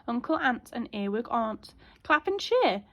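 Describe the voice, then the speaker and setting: A woman teacher reading a children's storybook aloud in an expressive, child-directed way. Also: fairly high pitch, a little slow. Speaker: primary school teacher.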